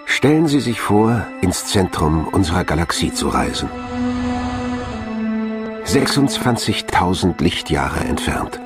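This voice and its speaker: A man reading scripted documentary narration in a measured, dramatic way. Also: low voice, sing-song. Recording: voice over a music bed. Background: music.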